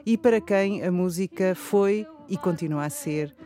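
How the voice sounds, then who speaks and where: A woman's voice: fairly low pitch, unhurried, mellow, soft background music. Thoughtful woman researcher. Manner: thoughtful, explanatory, with hesitant fillers. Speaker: researcher and project co-creator. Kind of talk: reflective radio talk.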